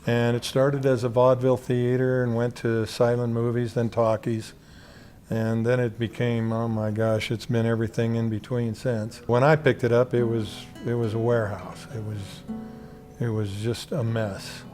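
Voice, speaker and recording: A man's voice: medium pitch, level tone, moderate pace, a little mellow, faint music behind. A middle-aged man being interviewed, reminiscing casually about his own experiences. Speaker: theater owner being interviewed.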